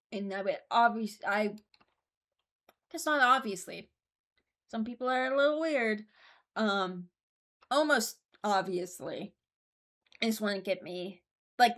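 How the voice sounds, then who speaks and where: A woman's voice: on the high side, a bit bright. A young woman giving casual, unscripted commentary as a video host, rambling and hesitant as she thinks aloud. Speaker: video host ranking game voice lines.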